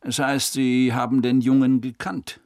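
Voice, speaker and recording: An actor playing a sardonic police investigator in a radio drama, questioning in a pointed, insinuating way. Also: male voice, medium pitch, little pitch movement, measured pace, a little mellow, close to an everyday voice. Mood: stern, pressing. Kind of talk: German radio drama dialogue.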